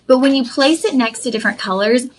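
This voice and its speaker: A woman's voice: high-pitched, fast, slight background noise. A young woman, hosting a live shopping stream, effusive and chatty, calling viewers y'all. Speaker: shopping channel host. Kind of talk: live-stream sales pitch.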